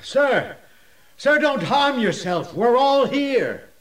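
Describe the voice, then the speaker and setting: An actor playing a steadfast, earnest missionary prisoner in an audio drama, speaking in a measured, expressive way. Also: male voice, fairly high pitch. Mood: urgent reassurance.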